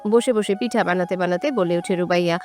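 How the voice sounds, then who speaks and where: A woman's voice: lowish voice, brisk, darkish tone, faint music behind. A woman reading a scripted romantic story in a dramatic narrating style.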